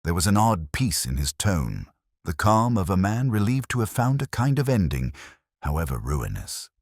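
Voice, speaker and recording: A man narrating a mystery drama as a brooding, reflective detective inspector, in a measured, solemn and literary delivery. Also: deep voice, big pitch swings, darkish tone, dry recording, clean studio sound. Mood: grave, weary. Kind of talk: scripted first-person mystery narration.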